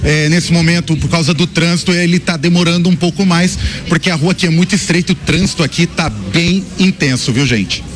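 A man's voice: a little high, a little fast, few pauses, faint music behind. A man with a Brazilian Portuguese accent from São Paulo state, reporting live as a field reporter from a busy street outside a polling station, describing what he sees as it happens. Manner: thinks aloud while describing the scene as it happens. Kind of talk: live election-day news report.

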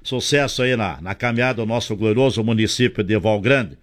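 Gaucho radio host guy, middle-aged, jokey, reading ads and shoutouts. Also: fairly low pitch, fairly quick. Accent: gaúcho Brazilian Portuguese. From southern Brazil. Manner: jokey, reads ads and listener messages. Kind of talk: regional radio talk show.

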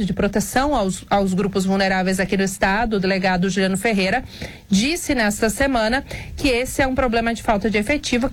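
A woman reporter giving a live radio report, explaining with the occasional filler. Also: medium pitch. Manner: explanatory, slightly unscripted with fillers.

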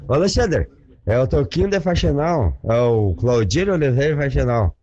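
A man sends a friendly, informal voice message to a radio show. His pitch swings up and down and his tone is mellow. The audio is dull and lo-fi, like a phone recording. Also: sing-song, moderate pace. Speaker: listener sending a voice message.

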